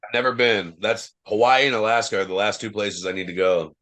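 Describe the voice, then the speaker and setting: A young man from Ohio, a wrestler guesting on a podcast, telling loose, profane travel stories full of fillers, with a loud voice. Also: on the low side, fairly quick. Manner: says he has a loud voice. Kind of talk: casual podcast chat.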